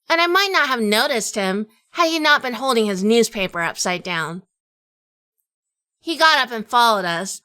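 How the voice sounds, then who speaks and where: A woman acting a tough, wary noir heroine who tells her own crime story in an audio drama. Her voice is bright and very clear, recorded close and dry. She delivers scripted narration with hard-boiled self-possession. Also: medium pitch, no echo.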